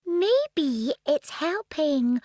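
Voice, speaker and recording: A woman playing an eager, impatient little girl in a children's story, with an excitable, insistent manner. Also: high-pitched, drawn-out words, no room sound, studio quality, heavily acted. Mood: impatient, curious. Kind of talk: children's audio drama performance.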